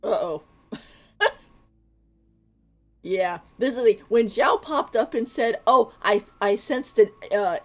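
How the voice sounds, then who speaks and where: Casting: female game streamer, fairly high voice, casual and chatty running commentary. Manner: informal, giggly, mimics characters.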